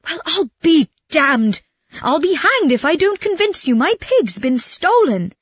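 A woman voicing a gullible, miserly man in a storybook, blubbering and yelling in exasperation. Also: sits high, very animated, a little slow, no room sound, studio quality, voice pushed up. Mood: yelling, indignant. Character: gullible miserly man wailing over his stolen pig.

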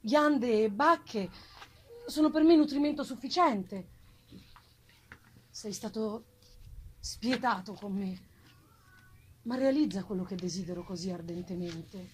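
A woman's voice: medium pitch, slow pace, long pauses, a little hiss or hum, natural voice. A woman voicing a wretched, vengeful male monster, pleading eloquently in a solemn, theatrical gothic reading. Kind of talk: dramatic gothic novel reading. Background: live plucked guitar music.

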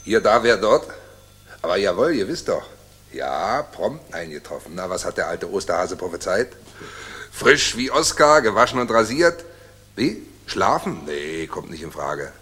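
A loyal, joking military orderly in a sixties radio play. The voice is fairly low and husky. The talk is breezy and cheeky, dressed up in mock deference. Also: male voice, very animated, measured pace, pushed and bright, heavily acted. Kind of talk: 1960s German radio drama acting.

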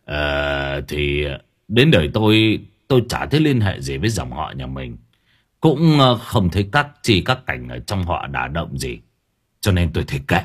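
A man's voice: a bass voice, sing-song, normal speed, breathy edge, pitched below the performer's own voice, exaggerated voice. A man voicing a crude, grumbling village husband who curses and stammers with hesitant fillers.

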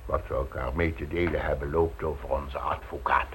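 An actor playing a flustered, cornered aristocratic politician in a radio play, stammering, hesitant and repeating himself. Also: male voice, extremely low pitch, steady tone, fairly quick, a bit bright. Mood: anxious, evasive. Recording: noisy old radio play recording.

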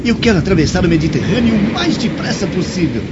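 An actor playing an impatient, bold young Roman soldier and adventurer in a radio drama, speaking urgently. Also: male voice, high voice, lively intonation, quick pace. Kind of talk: theatrical radio drama acting. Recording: dull radio drama, loud background noise.

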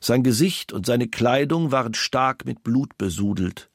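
A male investigator reads a crime-scene report in a mid-pitched voice. He is formal, clinical and detached, in a radio documentary style, recorded dry with no room sound. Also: lively intonation, measured pace, no room sound. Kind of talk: scripted documentary reading of a police report.

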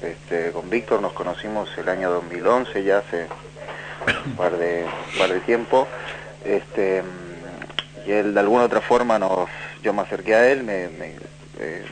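A man's voice: deep voice, normal speed, background noise. A man from Chile answering as a guest in a radio interview, searching for his words. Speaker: documentary director, interview guest.

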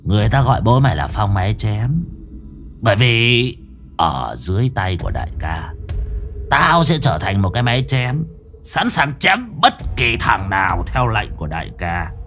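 A man's voice: fairly low pitch, very animated, normal speed, fairly bright tone, faint music behind. A man voicing a brutal, fat henchman who sneers and boasts with a cruel edge. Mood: sneering. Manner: sneering, hissing through teeth, boastful.

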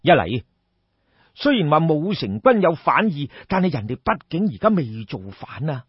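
A man voicing a seasoned, loyal army general in Cantonese, sighing and speaking in a deliberate, measured way. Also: on the high side, big pitch swings, breathy edge, no room sound, highs cut off. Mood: firm. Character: seasoned male army general, grave, weary, firm and loyal.

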